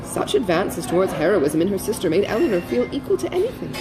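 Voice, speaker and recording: A woman narrates a classic novel as an audiobook. Her delivery is expressive and literary, with a medium pitch that moves in wide, lively contours. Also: fast talker, over music, poor audio.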